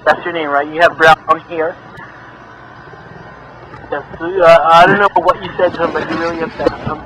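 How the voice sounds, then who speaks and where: A man's voice: on the high side, flat delivery, normal speed, pushed and bright. A man making an improvised prank call in a mock-official, persistent, argumentative manner. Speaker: prank caller. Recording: noisy lo-fi recorded phone call.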